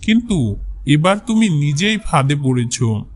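Man acting a slow-talking, stern village farmer. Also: a little high, sing-song, slight background noise. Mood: stern warning. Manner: firm, admonishing. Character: poor male village farmer, wary and stern. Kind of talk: cartoon fable character voice.

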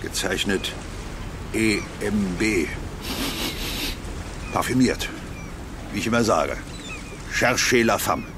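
An actor playing a pompous, self-assured police detective sergeant in a radio play, curt and officious. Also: male voice, fairly low pitch, slow pace, long pauses. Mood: smug, officious. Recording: noisy waterside sound effects behind.

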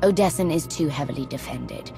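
Very deep, creaky scornful villainess. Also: female voice, fairly quick, music in the background. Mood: contemptuous. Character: scornful villainous daughter of dead emperor, volatile. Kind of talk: video game voice acting.

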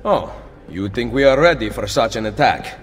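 A man's voice: mid-range voice, normal speed, big-room sound, soft background music, lightly acted. A man playing a wry, confident assassin. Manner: calm, wry, understated. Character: confident Italian male assassin, dry wit. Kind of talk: video game cutscene dialogue.

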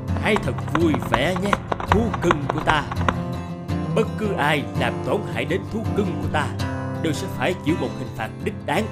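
A man's voice: fairly high pitch, animated, normal speed, loud music behind the voice, natural voice. A man playing a pompous, hot-tempered middle-aged king who speaks in a commanding manner in a cartoon dub. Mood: proud, stern.

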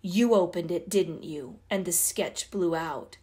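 A woman voicing a patient older brother who gently reproaches his sisters. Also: fairly low pitch, a little slow, pitched below the performer's own voice, close to an everyday voice. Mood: reproachful.

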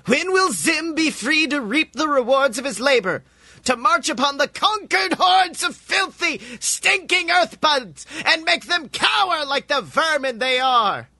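A man voicing a grandiose male alien conqueror with a shrill, pompous voice. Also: lively intonation, unhurried, bright, forceful tone, dry recording, exaggerated voice. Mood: ranting.

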